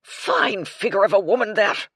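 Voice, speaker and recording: A woman's voice: medium pitch, animated, normal speed, fairly bright tone, husky, dry recording, clean studio sound, voice pushed up. A woman voicing a tipsy, blustering old English army colonel with a bluff, clipped manner and old-fashioned slang, read in an audiobook. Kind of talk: audiobook character voice.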